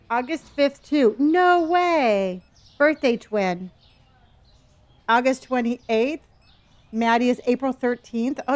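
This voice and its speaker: Older woman, early 60s, casual streamer type, talks to chat and trails off mid-thought, slightly higher voice. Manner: chatty, reads chat aloud, trails off and restarts.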